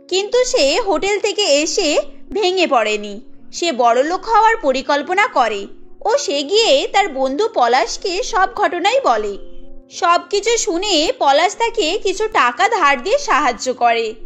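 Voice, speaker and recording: Female storyteller for cartoon narration, extremely high voice with animated swings, soft music behind. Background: faint music.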